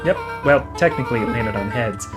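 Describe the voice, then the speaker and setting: Contrary, curious game girl, played by a man, breezy delivery, very lively pitch, music underneath. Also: brisk, lightly acted. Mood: breezy, determined. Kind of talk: video game character read-aloud. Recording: music playing behind the voice.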